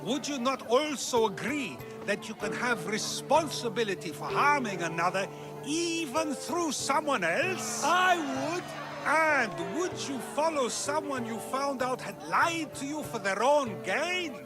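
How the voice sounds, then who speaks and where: A man's voice: very high-pitched, expressive, measured pace. A man playing an eloquent, probing ancient Greek philosopher in video game dialogue, theatrical and full of rhetorical questions. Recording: music under the voice.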